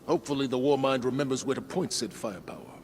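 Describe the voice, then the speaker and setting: A man's voice: medium pitch, lively intonation, a little mellow, slight background noise, close to an everyday voice. Man acting a grave, stern military commander. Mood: doubtful. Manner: grave, formal, measured. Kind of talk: video game dialogue acting.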